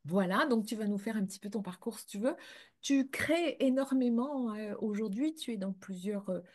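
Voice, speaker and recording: A woman's voice: medium pitch, normal speed, a little mellow. A woman hosting an interview in a friendly, chatty way, with many fillers. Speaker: host.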